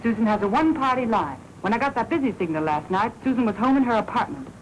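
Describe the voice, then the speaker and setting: An actress playing a sharp-tongued, suspicious woman reporter with snappy, sardonic delivery in a 1940s crime film. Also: a little high, expressive, quick pace, lo-fi sound, close to an everyday voice. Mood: accusing. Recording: noisy 1940s film soundtrack.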